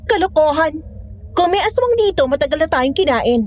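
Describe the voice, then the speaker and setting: A very high-voiced young Filipino woman, played by an actress, in a horror audio drama. She is skeptical and irritable, quick to argue and brush off everyone else's worries. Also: lively intonation. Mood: scornful. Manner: dismissive, argumentative. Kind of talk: Tagalog audio drama acting.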